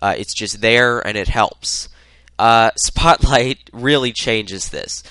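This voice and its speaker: Stuffy-nosed guy with a cold rambling about tech on his podcast, lowish bright clear voice, dry close sound. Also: slow, no room sound. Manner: rambling, many filler uhs. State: congested from a cold. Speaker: podcast host. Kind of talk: solo tech podcast monologue.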